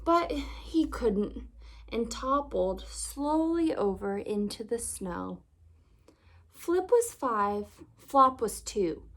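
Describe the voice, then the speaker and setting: A woman with a high, very animated voice narrates a children's story. She takes it slowly, phrasing each line expressively and deliberately for young listeners. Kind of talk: children's read-aloud narration.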